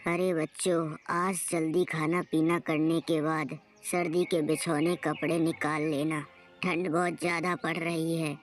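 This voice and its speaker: Woman playing a slow, fussing cartoon mother-in-law. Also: sits low, flat delivery, over music. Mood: instructing. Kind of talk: cartoon story dialogue.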